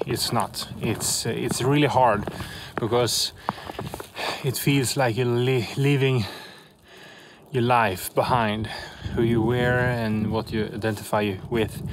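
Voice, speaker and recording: A young adult man talking casually as a vlogger, in a halting, reflective way. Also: medium pitch, background noise. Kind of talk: casual vlog narration.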